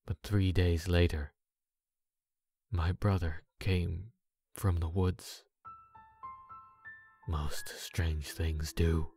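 A man narrates a dark tale in a very low voice. His delivery is slow and brooding, broken by dramatic pauses, with music softly behind him. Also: little pitch movement. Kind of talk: scripted dark story narration. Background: background music.

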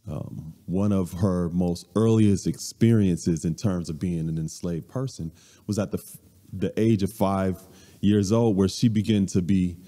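Deep, dark-voiced man in a reverberant hall. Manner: conversational, measured talk with frequent ums. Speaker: guest speaker. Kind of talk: lecture at a church service. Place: large church hall, indoors. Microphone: stand mic at a few steps, far camera.

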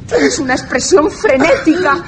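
An actress playing a devoted, anxious young lady in a radio horror drama, melodramatic and soft-hearted. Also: high voice, animated, moderate pace, a crowd in the background. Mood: alarmed. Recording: prominent music under the voice.

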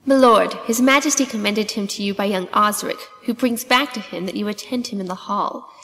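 A woman's voice: mid-range voice, brisk, fairly bright tone, lightly acted. Reverberant, dutiful courtly messenger played by a woman. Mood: polite, formal. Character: polite, dutiful courtier messenger bringing royal word. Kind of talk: dramatic reading of Shakespeare. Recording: long reverberant echo.

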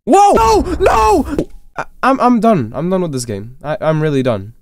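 A sick, slightly congested man giving excitable, rambling gameplay commentary with quick, frustrated outbursts. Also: high-pitched, slight background noise. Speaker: gaming YouTuber. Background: faint game music.

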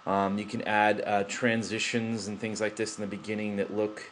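A man's voice: on the low side, flat delivery, normal speed, fairly bright tone, slight background noise. A man talking through a computer tutorial into his laptop's built-in microphone, rambling with many fillers and restarts. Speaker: tutorial presenter. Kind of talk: unscripted screen-recording tutorial.

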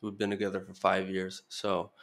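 A man's voice: low voice, completely flat delivery, moderate pace, creaky, a bit bright. A man in his thirties, a podcast guest, speaking earnestly in casual interview talk with frequent restarts and a slight Venezuelan Spanish tinge.

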